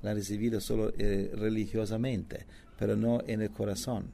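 A man who preaches mainly in English and Italian, teaching from the Bible in halting second-language with frequent restarts and fillers. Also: fairly low pitch, flat delivery, vocal fry, dark tone, a little hiss or hum. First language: not Spanish; preaches in English and Italian. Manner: halting non-native Spanish with many restarts and fillers.

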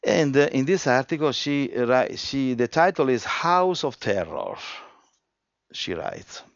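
A man preaching a sermon, reading scripture aloud and explaining it emphatically, with frequent restarts and swings from quiet to loud. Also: mid-range voice, big pitch swings, slow pace, gravelly, no echo, muffled recording.

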